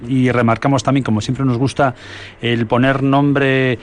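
A man hosting a radio show, chatting in a brisk, effusive way. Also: medium pitch, little pitch movement. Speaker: radio host. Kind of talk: radio chat.

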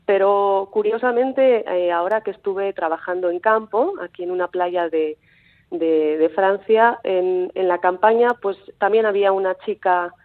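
A woman's voice: medium pitch, a little slow. A Basque-born woman in her thirties with a Mexican-tinged Spanish accent, a coastal researcher speaking hesitantly with many fillers and restarts. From Basque Country. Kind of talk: phone interview. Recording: tinny phone line.

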